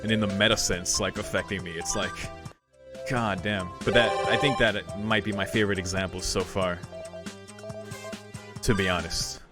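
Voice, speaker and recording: A man's voice: sits low, fairly even intonation, normal speed. A man doing a casual, reflective let's play of a visual novel, commenting and reading the text aloud. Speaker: streamer playing a visual novel. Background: game music.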